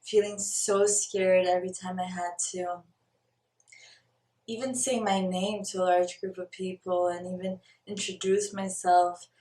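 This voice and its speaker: A woman's voice: on the low side, flat delivery, a little slow, warm tone. A young woman from Brazil talking earnestly to camera in a personal vlog, stopping now and then to search for the right word. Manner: earnest and searching, stops to look for words. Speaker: spiritual vlogger. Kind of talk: reflective personal talk to camera. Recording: roomy, mic at a distance.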